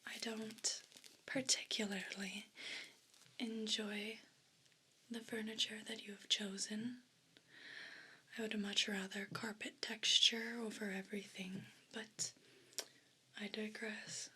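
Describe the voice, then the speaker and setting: A woman voicing a vain, pompous evil house cat in a breathy whisper for an ASMR role play. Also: medium pitch, level tone, bright, forceful tone, slight background noise. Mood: imperious, dismissive. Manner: pompous, deliberate, formal wording.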